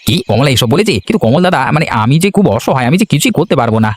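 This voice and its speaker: A man's voice: fairly high pitch, very animated, racing, slightly rough. A man voicing a meek, henpecked village husband in a cartoon, sounding submissive. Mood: helpless, puzzled. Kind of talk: Bengali cartoon dialogue. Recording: music under the dialogue.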